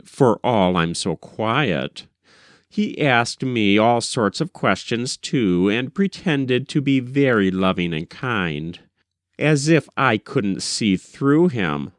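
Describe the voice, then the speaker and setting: Slow-paced man reading classic fiction. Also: fairly low pitch, animated, no echo, clean studio sound. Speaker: audiobook reader. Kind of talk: scripted literary narration of a first-person short story.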